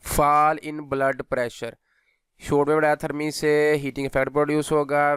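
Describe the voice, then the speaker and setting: Male physiotherapy instructor, unhurried delivery, dry close sound, English terms mixed in. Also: medium pitch, clear, resonant tone, no room sound. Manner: switches between Hindi and English technical terms. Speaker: physiotherapy lecturer. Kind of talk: instructional lecture explaining notes.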